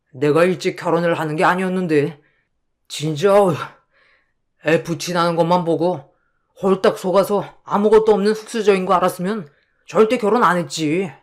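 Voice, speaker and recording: A woman's voice: lowish voice, lightly acted. A woman voicing a cold, self-pitying young husband who sounds weary and evasive as he complains.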